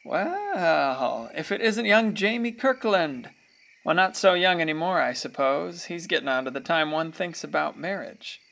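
A man's voice: fairly high pitch, big pitch swings, measured pace, gravelly, a bit bright, full character voice. An actor playing a folksy, wry narrator of a radio play who muses on his small-town neighbors. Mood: wry musing. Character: folksy older male narrator watching townsfolk.

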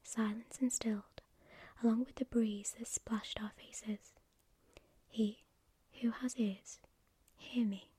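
Breathy whispering woman storyteller. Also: a little high, steady tone, no echo. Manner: slow, hushed, deliberate reading.

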